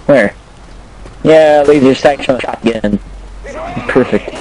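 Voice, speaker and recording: A man's voice: mid-range voice, takes it slowly. A young man hosting a co-op zombie game session, joking around and teasing his friends. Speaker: channel host and player. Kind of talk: casual gaming chat. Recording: noisy voice chat with mouse clicks.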